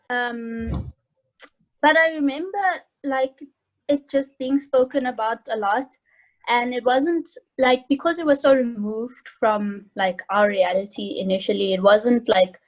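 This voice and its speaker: A woman's voice: on the high side, a little slow, poor audio. Young South African woman, informal and hesitant. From South Africa, Johannesburg. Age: early 20s. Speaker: interview guest, university student. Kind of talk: casual chat. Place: home room indoors. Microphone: video call.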